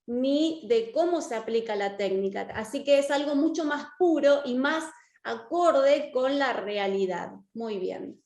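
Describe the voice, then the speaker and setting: An Argentine woman with a very high voice teaches an online class. She is encouraging and often checks in with short questions. Her voice rings in an echoey room. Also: a little mellow. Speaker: course teacher and lawyer. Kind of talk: interactive online lecture.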